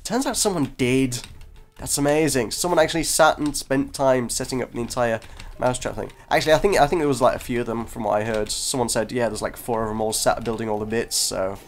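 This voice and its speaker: A man's voice: medium pitch, a little fast, faint music behind. A congested man, hosting a gaming video with casual, self-deprecating chat that breaks off mid-sentence. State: congested from a cold. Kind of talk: casual gameplay commentary. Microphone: desk microphone.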